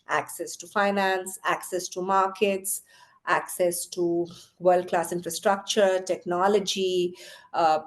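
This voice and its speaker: A middle-aged woman from Chennai, a company founder, telling her story in an interview with earnest pauses and fillers. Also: on the low side. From Chennai, India. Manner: earnest, many fillers like "uh" and "you know".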